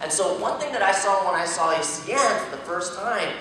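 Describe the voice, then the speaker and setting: A man speaking quickly to a crowd, his voice bright and forward, quizzing the audience as he goes. He sounds far off in a large, echoing hall. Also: high-pitched, animated, slight background noise. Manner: fast, asking the audience questions. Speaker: speaker at a business event. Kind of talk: motivational testimonial speech. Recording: echoey room from far off.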